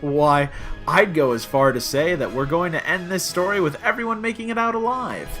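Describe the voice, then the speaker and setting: A man playing an excitable, bantering time-traveling alien in a comic audio drama, theatrical and mock-pompous. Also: a little high, normal speed, faint music behind. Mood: breezy.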